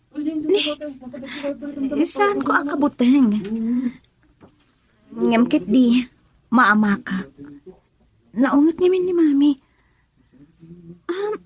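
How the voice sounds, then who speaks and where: A woman's voice: sits high, animated, very slow, long pauses, heavily acted. A woman playing a sweet young student in love in an Ilocano radio drama. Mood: affectionate.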